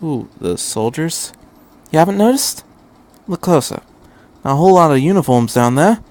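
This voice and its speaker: A man voicing a breezy, commanding doctor in a story reading, brisk and casual. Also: fairly high pitch, very animated, a little mellow, pitched above the performer's own voice. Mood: breezy, prodding. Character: breezy, commanding male time-travelling doctor.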